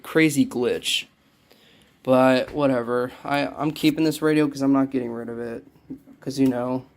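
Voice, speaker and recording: A man's voice: mid-range voice, a little mellow, a little hiss or hum. A young man giving a casual show-and-tell of his collection, rambling and correcting himself as he goes. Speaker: radio collector showing a collection. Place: small cluttered room indoors.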